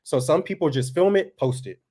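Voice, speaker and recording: A man with a monotone voice chatting casually to viewers as host of a family farm vlog, laid-back and folksy. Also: on the high side, brisk, husky.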